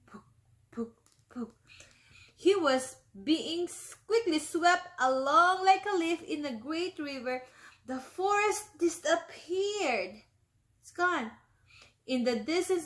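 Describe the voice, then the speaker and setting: Slow, higher-voiced woman reading to children. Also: fairly bright tone. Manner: stops to explain words and ask the children questions. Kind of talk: reading a picture book aloud to children.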